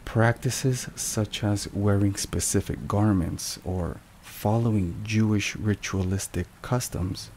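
A low-voiced man delivers scripted devotional narration in a hushed ASMR style. His delivery is very slow, measured and reflective. Rain and thunder are clearly heard behind him. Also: low-quality recording. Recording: steady rain and thunder noise. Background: rain and thunderstorm sounds.

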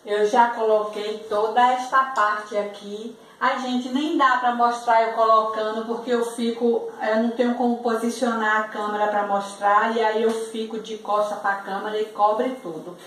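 A woman's voice: on the high side, flat delivery, normal speed, hardly any pauses, a bit bright. A middle-aged Brazilian woman giving a casual, informal how-to demonstration, explaining step by step as she works in a home kitchen. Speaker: vlog host demonstrating DIY. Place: small tiled home kitchen. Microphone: camera mic at a distance. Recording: echoey, distant, faint room noise.

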